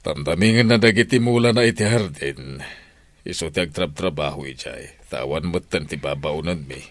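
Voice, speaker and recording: A man's voice: rumbling low, normal speed, dry recording. An actor playing a mild, easygoing older village father, chatting conversationally in an Ilocano radio drama. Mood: mild, reflective.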